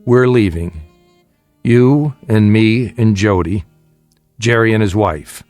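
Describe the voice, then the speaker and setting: A man's voice: on the low side, slow pace, darkish tone, no room sound, faint music behind. An American actor playing a middle-aged, secretive family father in a radio drama, commanding, guarded and urgent. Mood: hushed urgency. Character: tense secretive family father plotting an escape.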